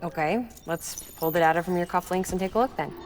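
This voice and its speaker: A woman's voice: lowish voice, brisk, very noisy, close to an everyday voice. An actress playing a weary, tender and pragmatic engineer mother in a video game cutscene, talking in a matter-of-fact, dryly informal way. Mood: explanatory, serious. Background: music.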